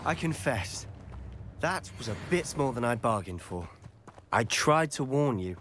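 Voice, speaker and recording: An actor playing an earnest, determined teenage student wizard in a video game, speaking in a measured, grave way. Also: male voice, medium pitch, animated, fairly bright tone, a little hiss or hum. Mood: guarded, serious. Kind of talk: video game cutscene acting.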